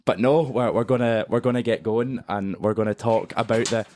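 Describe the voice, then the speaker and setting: A man's voice: medium pitch, a little fast, darkish tone, a little hiss or hum. A young man co-hosting a podcast, joking crudely and laughing through casual banter. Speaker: podcast co-host. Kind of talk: casual chat.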